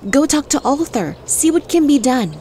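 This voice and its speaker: A woman's voice: high-pitched, big pitch swings, normal speed, dark tone, slight background noise. Sensible, matter-of-fact fantasy mother, acted by a woman. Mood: resigned, sensible. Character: Izar, resigned sensible village mother. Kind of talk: fantasy audio drama acting.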